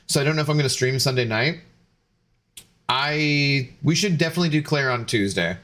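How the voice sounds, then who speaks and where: A man's voice: mid-range voice, a little fast, a bit bright. Tipsy rambling male streamer. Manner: rambling and tipsy, many fillers and restarts. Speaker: game streamer. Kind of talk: casual stream chat to viewers.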